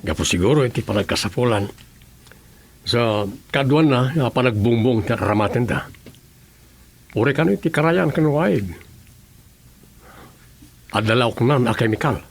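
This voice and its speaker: An actor playing a calm, measured governor in an Ilocano radio drama. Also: male voice, mid-range voice, gravelly.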